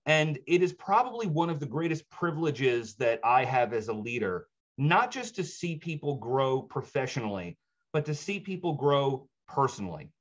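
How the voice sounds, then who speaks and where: A man's voice: medium pitch, very animated, moderate pace. A middle-aged man who leads the agency introduces a speaker to his team with heartfelt pride. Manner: heartfelt, proud. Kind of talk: warm stage introduction. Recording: dull lo-fi recording.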